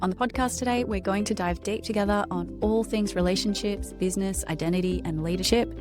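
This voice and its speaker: A woman hosting a podcast, reading an upbeat scripted intro and then interviewing with emphatic, drawn-out phrasing. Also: medium pitch, over music. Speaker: podcast host.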